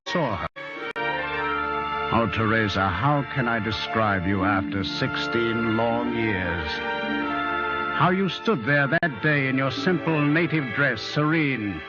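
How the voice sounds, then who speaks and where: An actor playing a wistful plantation owner who narrates his memories in a dramatic, reflective 1940s radio style with lingering pauses. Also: medium pitch, animated. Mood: yearning. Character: grieving, wistful male plantation owner recalling a lost legend. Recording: dull old radio recording with music.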